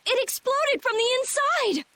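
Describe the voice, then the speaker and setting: An excitable little boy adventurer from a video game, acted out by a woman. The voice is extremely high and sharp, timid yet earnest, jumping all over in pitch. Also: a little slow, no room sound, full character voice. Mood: alarmed. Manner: jumpy, earnest, exclamatory. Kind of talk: video game dub acting.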